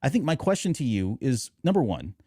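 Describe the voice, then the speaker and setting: Male podcast host, middle-aged. Animated pitch, soft-edged mellow tone, dry close sound, chatty and informal. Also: fairly quick, slightly rough, no room sound. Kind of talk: casual podcast chat.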